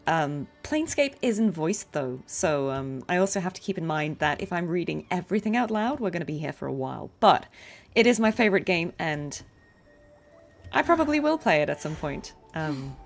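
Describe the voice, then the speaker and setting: Woman, game streamer, slightly low voice, casual chat, giggly asides, faint music behind. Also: big pitch swings, normal speed, creaky. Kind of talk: casual livestream chat and gameplay commentary, reading dialogue aloud. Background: video game music.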